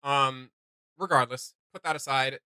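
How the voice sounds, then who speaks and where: A man hosting a casual reaction video, giving gushing, slangy commentary in a halting flow. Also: medium pitch, fairly even intonation, bright, forceful tone, clear, resonant tone, dry recording, clean studio sound.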